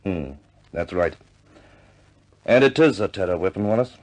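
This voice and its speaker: An actor playing a grave, businesslike senior British air force officer, briefing in a deliberate, measured way in 1950s war film style. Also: male voice, low-pitched, expressive, a little mellow.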